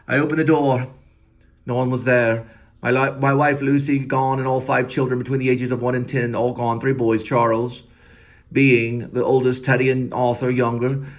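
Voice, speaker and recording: A man's voice: mid-range voice, voice pushed up, lightly acted. A man playing a grieving, obsessed ex-minister turned werewolf hunter in a solemn, wordy dramatic monologue. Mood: haunted, sorrowful.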